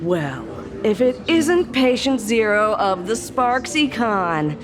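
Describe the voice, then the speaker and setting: A woman's voice: on the high side, very animated, slow. A woman voicing a tired, sarcastic hospital doctor in a game, dry and world-weary. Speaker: game character. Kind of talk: game dialogue. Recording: game audio with music underneath.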